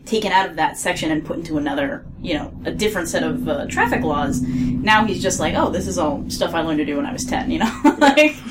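A woman's voice: lowish voice, animated, fairly quick, heavy background noise. A woman, co-creator of an audio drama, chatting casually on a podcast, rambling and correcting herself as she talks and laughing often.

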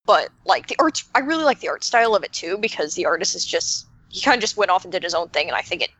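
Woman, mid-pitched voice, casual podcast chat, informal phrasing, quotes herself animatedly, lots of "like". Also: fast, rough recording. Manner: informal, animated self-quoting, frequent "like". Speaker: podcast panelist, game creator.

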